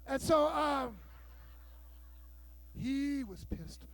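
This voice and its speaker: A man in his fifties performing a stand-up comedy set, telling loud, bawdy stories and acting out the dialogue. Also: very high-pitched, expressive, extremely slow. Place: live show room with audience.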